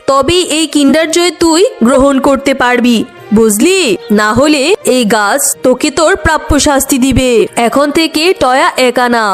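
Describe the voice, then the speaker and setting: A woman's voice: high voice, lightly acted. A woman voicing a stern, protective magic tree with a commanding, admonishing manner in a children's cartoon. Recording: faint music behind the voice.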